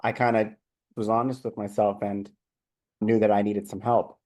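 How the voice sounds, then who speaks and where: Man from new york, low mellow voice, open reflective storytelling. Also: level tone, moderate pace. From New York City. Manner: open, reflective, with frequent restarts. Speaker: life coach, guest. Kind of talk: conversational storytelling.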